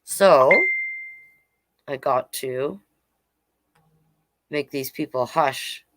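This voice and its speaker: A woman's voice: rumbling low, very slow, fairly bright tone. A woman storyteller reading a children's book aloud, with informal, playful asides. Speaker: drag king storyteller reading children's books.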